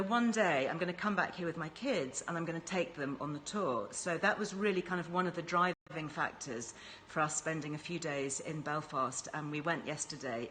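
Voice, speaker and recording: A woman's voice: sits low, a bit bright, a little hiss or hum. A woman hosting a casual live-stream chat in an upbeat, encouraging way. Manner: chatty, enthusiastic, encouraging. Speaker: host.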